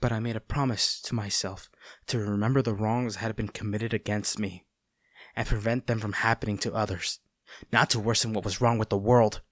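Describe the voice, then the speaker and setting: A man playing a conflicted heroic captor in a dramatic audio roleplay, earnest and halting, tender toward an old friend turned enemy. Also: fairly low pitch, fairly even intonation, a bit bright, dry recording, clean studio sound. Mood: weary, earnest. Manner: earnest, halting, formal wording with dramatic pauses.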